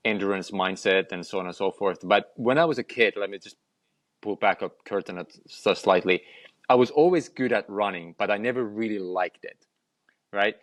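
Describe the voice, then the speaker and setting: A man's voice: fairly low pitch, fairly bright tone, no echo. A man, a guest on a podcast, speaking reflectively and often repeating words as he gathers his thoughts. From Finland. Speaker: guest, health and lifestyle coach. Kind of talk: conversational podcast interview.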